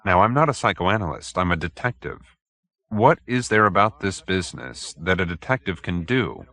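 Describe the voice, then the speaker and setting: Man playing a low-voiced, skeptical private detective. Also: animated, moderate pace, bright, forceful tone, full character voice. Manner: blunt, probing, dry.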